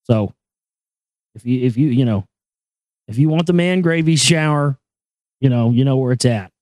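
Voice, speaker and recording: A man with a high voice hosting a casual talk show, joking crudely and rambling over news items. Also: normal speed, darkish tone, no echo. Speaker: show host.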